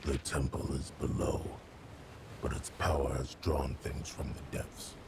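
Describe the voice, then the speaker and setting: Very deep gravelly fish-man monster. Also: exaggerated voice. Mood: grim, ominous. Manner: slow, deadpan, menacing. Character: menacing male fish-man sea creature, dry and taciturn. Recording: noisy ambient background.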